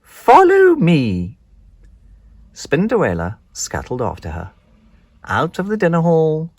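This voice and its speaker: A storybook narrator reading aloud for young children in an expressive, scripted storytelling style. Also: medium pitch, moderate pace, no room sound.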